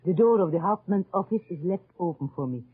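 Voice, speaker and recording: Husky French resistance woman. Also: lowish voice, expressive, fast, no room sound. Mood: willing, matter-of-fact. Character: brisk, bold French resistance charwoman spying on Germans. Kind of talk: 1940s-50s radio drama acting. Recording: muffled old radio recording.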